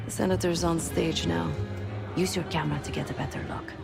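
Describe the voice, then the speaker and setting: An actress playing a cool, brisk female covert operative in a video game cutscene. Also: low voice, fairly even intonation, gravelly. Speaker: operative who has done scouting for the team. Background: music.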